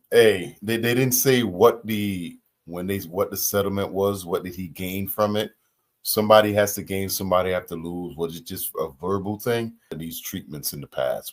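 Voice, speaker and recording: A man's voice: low voice, moderate pace. A man hosting a reaction video in casual, slangy chat, repeating phrases for emphasis.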